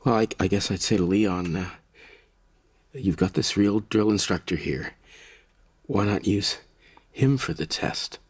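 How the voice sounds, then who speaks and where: A man's voice: low-pitched, measured pace, long pauses, husky. An actor in a radio drama playing a nervous, eager-to-please man of thirty, hesitant and deferential, often stammering. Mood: timid, unsure. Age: 30s. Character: nervous, eager-to-please thirty-year-old male voice artist. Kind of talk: naturalistic radio drama acting.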